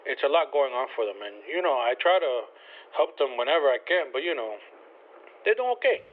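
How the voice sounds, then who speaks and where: A man's voice: mid-range voice, very animated, brisk. An older man with a Spanish accent, talking casually, hesitantly and politely on a phone call, often repeating himself. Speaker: call recipient. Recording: tinny narrow phone line.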